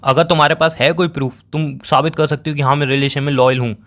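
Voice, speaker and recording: Hurt, accusing young boyfriend, somewhat high-voiced man acting. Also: steady tone, fast, a bit bright, lightly acted. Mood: weary, pleading. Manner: pleading, sarcastic, repeats phrases for emphasis. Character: hurt, accusing young boyfriend confronting his cheating girlfriend. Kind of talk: dramatized phone-call breakup argument.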